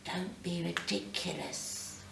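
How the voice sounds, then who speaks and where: A woman's voice: lowish voice, level tone, slow pace, creaky, pitched below the performer's own voice, heavily acted. Woman playing a blunt, sceptical working mother, roomy sound. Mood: wary, defiant. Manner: blunt, dismissive, colloquial. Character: tired, sceptical, worldly working mother, easily irritated. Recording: roomy, distant mic, faint noise.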